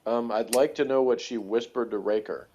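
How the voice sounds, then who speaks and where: A man chatting casually as a review co-host, thinking aloud in a halting, rambling way with small chuckles. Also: mid-range voice, dark tone. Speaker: podcast co-host reviewing a TV series. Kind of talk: casual chat, rambling analysis. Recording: dull, lo-fi audio.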